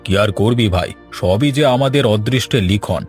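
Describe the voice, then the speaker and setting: A man's voice: lowish voice, normal speed, faint music behind, close to an everyday voice. A man voicing a resigned, weary elder brother, a village sharecropper in a cartoon, with a plain, rueful delivery. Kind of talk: cartoon voice acting.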